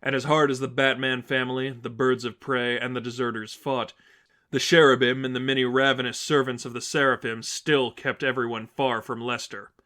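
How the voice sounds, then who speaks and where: Male narrator for dramatic fan fiction, medium pitch, scripted story delivery. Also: level tone, normal speed, sharp tone.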